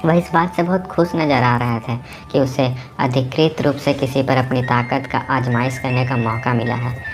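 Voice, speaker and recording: A man's voice: mid-range voice, fairly even intonation, moderate pace, faint music behind. A man narrating a novel aloud at home, with a sore throat from a cough, voicing the dialogue as he reads. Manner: casual, mixes English words into talk. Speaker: audiobook narrator. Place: home recording, busy household.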